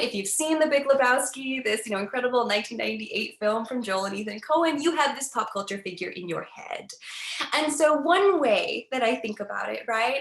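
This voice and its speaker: An earnest woman in her thirties, raised in the United States, gives a webinar lecture as an academic. Her voice sits a little high, and she often checks in with "right?". The sound carries a roomy echo. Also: a bit bright. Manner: earnest, tags points with "right?". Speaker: academic speaker.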